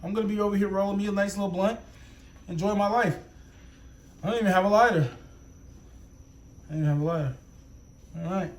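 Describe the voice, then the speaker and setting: Hyped young reaction-channel host. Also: male voice, sits high, fast, long pauses. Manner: rambling, profane, hyped street slang. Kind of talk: casual vlog intro.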